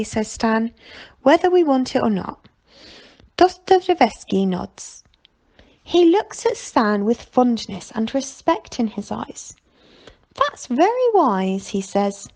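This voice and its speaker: A woman reading a children's novel aloud as storyteller in an expressive narrating style. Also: high-pitched.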